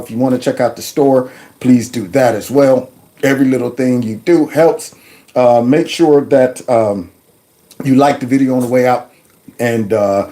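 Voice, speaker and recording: A middle-aged man hosting a commentary show, mocking and animated with loud outbursts. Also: medium pitch. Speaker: host. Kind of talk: commentary vlog reacting to clips.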